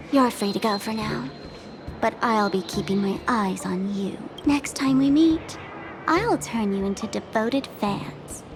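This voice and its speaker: A woman playing a mischievous, flirtatious troublemaker in a video game, with a taunting, theatrical delivery. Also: fairly high pitch. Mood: teasing, possessive. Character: mischievous, bored, flirtatious female troublemaker with fans.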